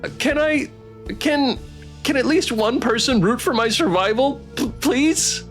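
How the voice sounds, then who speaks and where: A man's voice: very high voice, a little slow, a bit bright, faint music behind, voice pushed up. A man voicing a timid male dating-show contestant in a visual novel, stammering and pleading nervously. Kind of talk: visual novel character read-aloud.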